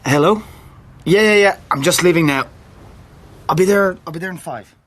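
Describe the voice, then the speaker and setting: An actor playing a smug, scheming, evasive newspaper rival in a radio drama. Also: male voice, high voice, moderate pace, music in the background, natural voice. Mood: glib, reassuring. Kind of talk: naturalistic radio drama acting.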